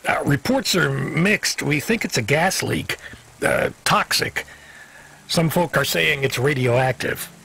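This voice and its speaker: A man's voice: a little high, animated, slow, creaky, bright, forceful tone, slightly rough, heavily acted. An actor playing a weary, avuncular dispatcher in a video game, hesitant and folksy as he hedges awkwardly. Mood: awkward, apologetic.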